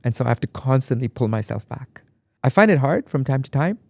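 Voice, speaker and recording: A man's voice: mid-range voice, lively intonation, brisk, gravelly, a little mellow, no echo. A man from Dubai, guest on an interview podcast, speaking reflectively and with emphasis, often repeating phrases. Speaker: guest artist. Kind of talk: conversational interview.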